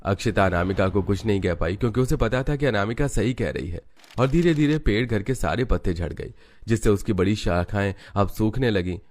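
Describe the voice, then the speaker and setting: Low-voiced man narrating over music. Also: fairly quick, a little mellow. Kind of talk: scripted Hindi cartoon story narration. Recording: music bed behind the voice.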